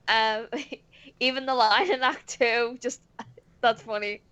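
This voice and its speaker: A woman's voice: fairly high pitch, steady tone, slow, bright, forceful tone, clear, resonant tone. A woman in her twenties chatting casually with friends in a giggly, teasing way. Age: 20s. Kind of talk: casual group chat with friends.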